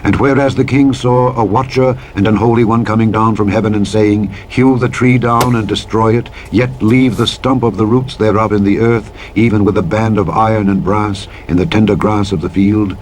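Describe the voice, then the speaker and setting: A man reading the Bible aloud as a narrator, in a solemn, measured, declamatory style. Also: on the low side, fairly even intonation, a little mellow. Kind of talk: solemn scripture reading, King James English. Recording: truck engine and reversing beeps over reading. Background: truck simulator game vehicle noise.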